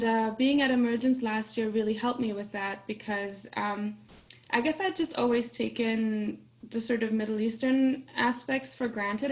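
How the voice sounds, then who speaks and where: Relaxed woman introducing herself. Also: a little high. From Austria. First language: Farsi. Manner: friendly, relaxed, laughs now and then. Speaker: workshop participant. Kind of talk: casual self-introduction. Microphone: video call.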